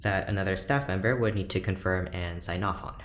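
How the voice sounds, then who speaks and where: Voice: sits low, fairly quick, lightly acted. An actor playing a reserved, awkward ship's doctor in a sci-fi audio drama, speaking hesitantly and with stiff formality. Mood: cautious. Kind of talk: audio drama acting, naturalistic dialogue. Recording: roomy echo, faint background noise.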